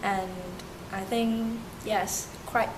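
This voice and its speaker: A young woman from Turkey, a native Turkish speaker, answering interview questions in halting English with many fillers and restarts. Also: mid-range voice, flat delivery. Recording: noisy amateur recording.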